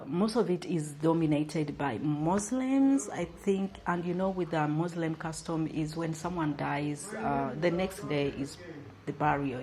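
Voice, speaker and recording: A young woman from Uganda chats to her viewers in a loose, rambling travel-vlog style, full of "um" and "you know". Her voice sits a little on the low side for a woman. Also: darkish tone. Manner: chatty, many fillers, addresses viewers as guys. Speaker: travel vlogger. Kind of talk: casual chat to camera.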